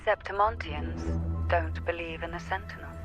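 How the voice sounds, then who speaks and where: A woman's voice: fairly low pitch, normal speed, music in the background. A woman playing a refined, knowing noblewoman in a video game, talking formally and teasingly through a call filter. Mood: measured. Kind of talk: video game character acting.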